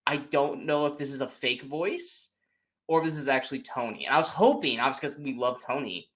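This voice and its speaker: A man co-hosting a film podcast in a casual chat, animated and sweary, acting out his reactions as he retells things. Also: medium pitch, fairly quick. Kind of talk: casual movie spoiler chat.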